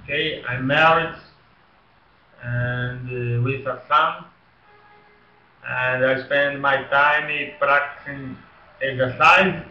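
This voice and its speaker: A man in his forties from Brazil, a student introducing himself in slow, halting English. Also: medium pitch, fairly even intonation. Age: 40s. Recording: roomy, dull online call audio.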